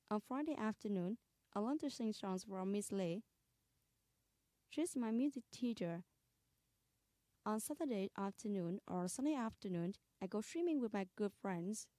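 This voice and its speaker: A woman's voice: mid-range voice, mellow, no echo. A woman reading beginner English textbook exercises in a plain, careful way. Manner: plain, careful dictation of items. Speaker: English listening-practice reader.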